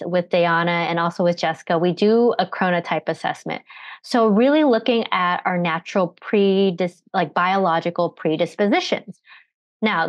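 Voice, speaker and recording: A woman's voice: on the low side, no echo, lo-fi sound. A woman in her thirties, a coach presenting slides on a video call from home, explaining earnestly with rhetorical questions while recovering from a cough. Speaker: energy management coach. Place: home room with bookshelves. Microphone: video call webcam.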